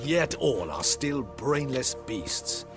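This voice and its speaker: Game guard captain: pompous, scornful, mid-pitched, highly animated intonation, music behind. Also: male voice, slow. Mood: scornful, doubting. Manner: pompous, formal, incredulous. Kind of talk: video game cutscene acting.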